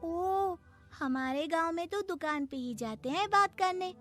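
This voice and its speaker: Woman as cartoon village schoolgirl, very high voice, naive, eager, pleading, stubborn, slow-paced delivery. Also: lively intonation, fairly bright tone, heavily acted. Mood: curious, insistent. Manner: eager, wide-eyed questioning, pleading. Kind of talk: Hindi moral-story cartoon dubbing. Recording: music behind the voice.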